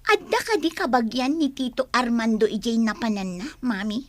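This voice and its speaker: A woman's voice: fairly high pitch, lively intonation, normal speed, no room sound, lightly acted. A woman with an Ilocano accent playing a spoiled, meddling, bossy daughter in a radio comedy drama, in a bratty, tattling way. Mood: conspiratorial. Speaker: voice actor.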